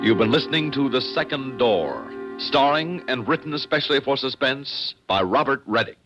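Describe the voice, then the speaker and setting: A man's voice: mid-range voice, lively intonation, normal speed, music in the background. A man reading scripted credits as a radio announcer, on a dull 1960s broadcast recording.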